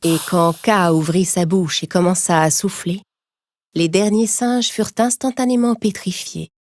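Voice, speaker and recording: A woman's voice: fairly low pitch, steady tone, normal speed, darkish tone, no room sound. A woman storyteller reading a scripted children's tale in narration style. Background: music.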